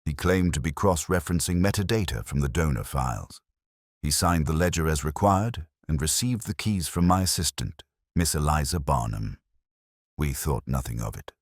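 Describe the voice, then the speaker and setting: Male narrator as audiobook detective's client, earnest worried gentleman, very deep voice, formal, emphatic. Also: animated, moderate pace, no room sound, clean studio sound. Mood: grave, confiding. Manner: formal, emphatic witness account.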